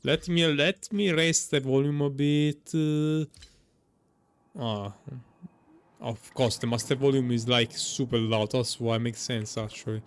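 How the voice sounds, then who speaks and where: A man's voice: mid-range voice, steady tone, measured pace, clean tone, no echo. A man giving casual live commentary as he streams a video game playthrough.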